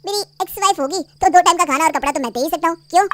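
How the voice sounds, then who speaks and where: A man's voice: very high voice, racing, very noisy. A woman playing a scornful young husband. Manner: scolding, loud and demanding.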